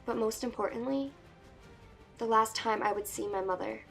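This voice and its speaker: A woman voicing a sardonic, anxious teenage princess-to-be, narrating a fantasy book in a measured, wistful way. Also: on the high side, vocal fry, soft background music. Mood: wry. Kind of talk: first-person dramatic book reading.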